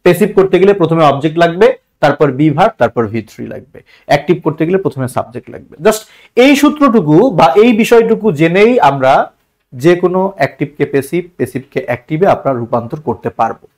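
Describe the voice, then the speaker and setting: A man's voice: a little high, sing-song, quick pace, darkish tone. A man with a Bangladeshi accent teaching a grammar lesson step by step, repeating points and posing rhetorical questions. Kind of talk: instructional lesson for exam students.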